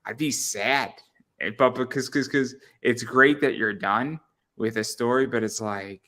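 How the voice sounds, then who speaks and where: A man's voice: mid-range voice, unhurried, sharp tone. A man of about thirty hosting an interview in a casual chat, stammering and repeating words as he thinks aloud. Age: around 30. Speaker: interview show host.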